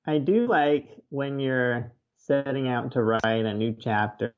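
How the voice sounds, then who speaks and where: A man's voice: medium pitch, slow pace, clean tone. A man giving plain-spoken, easygoing answers as a guest in a casual interview, on slightly dull lo-fi sound. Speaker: interview guest, nature writer.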